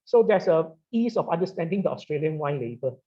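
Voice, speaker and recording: A middle-aged man with a Singaporean English accent and a slightly high voice, speaking on a webinar panel. He pauses on "uh" a great deal and checks in with "right?" as he explains. The audio is dull and lo-fi, like a video call. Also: expressive, warm tone. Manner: halting, many "uh" fillers and "right?" tags. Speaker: wine retailer and sommelier.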